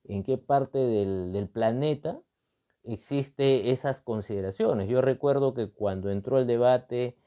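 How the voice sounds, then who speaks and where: A man's voice: mid-range voice, a little slow, dark tone, muffled recording. A man from Peru with a Peruvian accent gives off-the-cuff analytical commentary, emphatically repeating phrases and posing rhetorical questions with frequent tag words. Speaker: political and constitutional commentator. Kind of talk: extemporaneous analytical talk.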